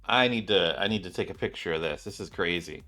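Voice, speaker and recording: Low-voiced man doing casual game commentary. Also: a little fast. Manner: excitable, exclamatory, repeats phrases. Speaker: gaming channel host. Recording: music behind the voice.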